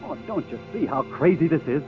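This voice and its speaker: An actor playing an earnest young suitor, urgent and defensive, in a 1950s radio drama. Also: male voice, a little high, animated, a little fast, faint music behind, natural voice. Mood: pleading. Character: earnest, agitated young suitor protecting the woman he loves. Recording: tinny, narrow vintage radio broadcast.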